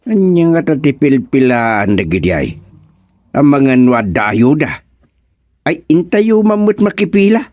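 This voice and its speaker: An actor playing a stubborn, giggly old father in a comedic radio drama, exclamatory and repetitive with drawn-out words. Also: medium pitch, very animated, darkish tone, dry recording, studio quality. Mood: puzzled.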